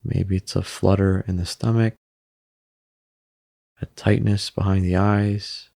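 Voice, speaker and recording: A man narrating a guided meditation in a calm, unhurried way, pausing between short phrases. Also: low voice, creaky, dry recording, clean studio sound.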